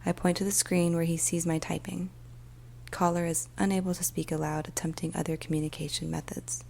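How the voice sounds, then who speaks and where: Woman acting as weary female emergency dispatcher, soft half-whisper, gravelly fry, restrained intonation, ASMR story. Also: fairly low pitch, little pitch movement. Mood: hushed, tense. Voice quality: soft, breathy, often whispered. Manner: slow, hushed, deliberate, with pauses. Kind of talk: ASMR storytelling, first-person dramatic monologue.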